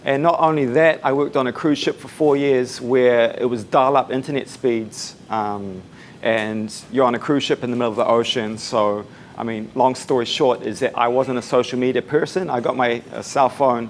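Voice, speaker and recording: A man in his twenties with a New Zealand accent, giving a casual, soft-spoken talk to a meetup audience, with many fillers. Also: medium pitch, normal speed, slight background noise. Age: 20s. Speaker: speaker at a meetup. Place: coworking space event room.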